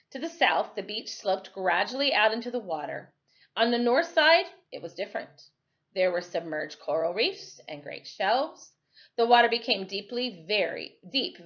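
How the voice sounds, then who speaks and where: Dull-sounding recording, woman reading aloud with lively pitch. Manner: expressive, deliberate phrasing. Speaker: teacher reading a storybook aloud. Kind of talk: expressive read-aloud of a children's novel.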